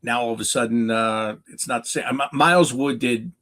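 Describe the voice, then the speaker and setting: A man with a North American accent and a mid-pitched voice talks sports off the cuff as a guest analyst. He is emphatic, asking questions and then answering them himself. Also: moderate pace, a bit bright. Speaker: hockey play-by-play announcer as guest analyst. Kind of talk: unscripted sports talk, analysis.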